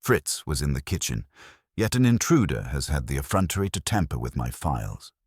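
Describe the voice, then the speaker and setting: A man voicing an imperious, brooding genius detective in an audiobook, speaking in a pompous, formal, deliberate way. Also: extremely low pitch, sing-song, slightly rough, dry recording, clean studio sound, exaggerated voice. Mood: affronted. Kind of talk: audiobook character acting.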